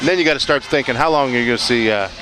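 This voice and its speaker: A man doing relaxed live play-by-play of a high school football game, joking and filling pauses with lots of "uh"s and restarts. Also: over music, poor audio. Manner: easygoing, joking, many fillers and restarts. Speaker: play-by-play commentator. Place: outdoor football stadium. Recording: loud crowd and stadium noise. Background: crowd noise.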